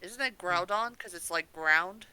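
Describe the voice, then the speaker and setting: Bright, clear-voiced woman chatting on a podcast. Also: on the low side, measured pace, no echo. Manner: sweary, exclaiming. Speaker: podcast co-host. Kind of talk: casual review chat.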